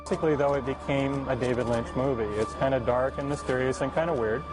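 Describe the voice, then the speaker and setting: A man's voice: medium pitch, steady tone, fast. A man casually recalling past events in an interview. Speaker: interviewee, author's son. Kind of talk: casual recollection. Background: music.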